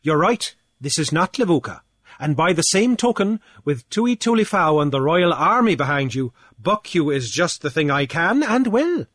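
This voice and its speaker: A man's voice: fairly high pitch, sing-song, moderate pace, a bit bright, no room sound, clean studio sound, voice pushed up. A man voicing a pompous, drunken male beachcomber official who blusters in a lilt. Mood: blustering. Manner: bombastic, wordy, theatrical.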